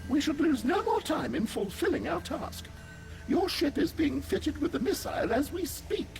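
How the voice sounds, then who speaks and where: Very high, fussy alien scientist. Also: male voice, sing-song, normal speed, vocal fry, darkish tone, soft background music, exaggerated voice, poor audio. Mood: earnest, explanatory. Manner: formal, verbose, pedantic. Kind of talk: scripted video game character acting.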